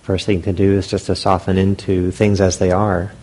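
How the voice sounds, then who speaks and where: A man leading a guided meditation as a teacher, speaking gently and unhurriedly in short phrases with long pauses. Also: low-pitched, completely flat delivery.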